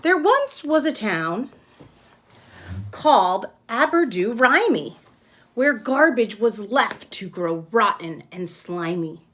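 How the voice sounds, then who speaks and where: A woman's voice: a little high, very animated, slow pace, a bit bright. A woman kindergarten teacher giving a simple lesson and picture-book read-aloud, explaining step by step and checking with questions.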